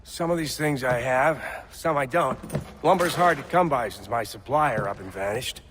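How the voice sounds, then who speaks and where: An actor playing a plain, businesslike colonial shopkeeper in a video game, with a casual folksy manner. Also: male voice, medium pitch, normal speed, natural voice. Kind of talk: video game cutscene acting. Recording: faint background noise.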